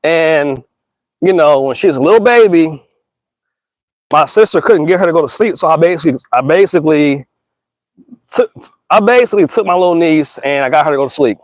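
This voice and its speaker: A man's voice: on the high side, expressive, fairly quick, long pauses, no room sound, rough recording. A man in his thirties musing in a casual, slangy monologue, earnest and rambling with restarts, calling listeners y'all and bro. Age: 30s. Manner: rambling with frequent restarts and stammers.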